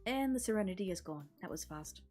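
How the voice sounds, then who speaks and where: A woman's voice: mid-range voice, expressive, a little fast, warm tone. A woman streamer casually narrating a visual novel's script aloud. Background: music.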